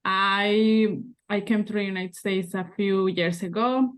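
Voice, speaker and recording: Ecuadorian woman, halting on a call. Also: medium pitch, steady tone. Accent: Ecuadorian Spanish. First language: Spanish. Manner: halting, restarting sentences. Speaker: student and committee member. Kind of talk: video call introduction. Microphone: video call.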